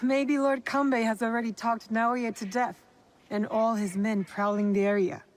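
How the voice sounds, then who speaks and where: A woman's voice: on the high side, flat delivery, moderate pace, close to an everyday voice. A woman playing a determined, blunt young female shinobi in a video game, direct and serious. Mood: businesslike. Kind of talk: dramatic video game acting.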